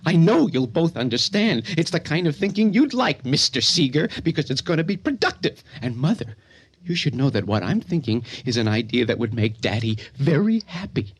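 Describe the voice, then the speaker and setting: An actor playing a sly, vengeful, disturbed son in a radio drama, swinging between needling mutters and excited outbursts. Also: male voice, on the high side, moderate pace. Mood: mock-polite defiance. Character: disturbed grown son arguing with his own other self, sly, vengeful.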